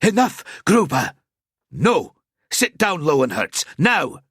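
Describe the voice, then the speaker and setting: Guy doing a cautious knight, earnest and urgent, husky tone. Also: mid-range voice, sing-song, unhurried, no room sound, studio quality, pitched above the performer's own voice, heavily acted.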